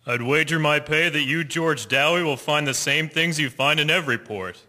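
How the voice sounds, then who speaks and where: An actor playing a worldly, admonishing sailor shipmate in a radio drama, with a reverberant sound. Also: male voice, on the high side, normal speed, bright, forceful tone. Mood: wry, chiding.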